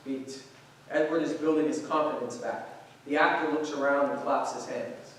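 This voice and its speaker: A man's voice: mid-range voice, fairly even intonation, normal speed, a bit bright. A man reading scripted stage directions as a narrator. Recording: echoey noisy hall, distant microphone.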